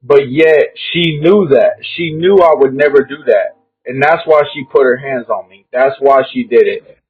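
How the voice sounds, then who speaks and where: Husky young man on a tinny call. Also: mid-range voice, moderate pace. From Kentucky. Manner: emphatic, repeats himself, says "bro" often. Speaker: guest caller. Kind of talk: earnest casual chat. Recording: tinny phone-like call audio.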